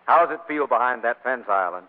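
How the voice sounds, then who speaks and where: An actor playing a cocky, needling athletic rival who flusters easily, in a 1940s radio comedy. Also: male voice, medium pitch, lively intonation, quick pace, very noisy, natural voice. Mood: taunting. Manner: breezy, needling. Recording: tinny vintage radio broadcast.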